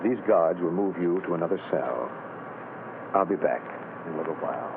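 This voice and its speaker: Grave, deep-voiced official on old radio. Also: male voice, expressive, normal speed. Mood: somber. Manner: grave, sympathetic, quiet. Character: grave male official visiting a prisoner. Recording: hissy tinny 1930s radio broadcast.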